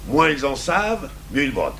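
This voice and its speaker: An actor playing a gruff, brooding, stubborn French police commissioner in a 1980s radio drama. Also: male voice, medium pitch, big pitch swings, fairly quick, fairly bright tone. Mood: frustrated, brooding. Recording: noisy old radio broadcast recording.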